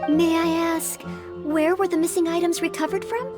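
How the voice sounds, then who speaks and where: A woman voicing a gentle, earnest young maid-knight girl in a video game, speaking politely and formally. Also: very high-pitched, steady tone, normal speed, over music. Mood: polite, attentive.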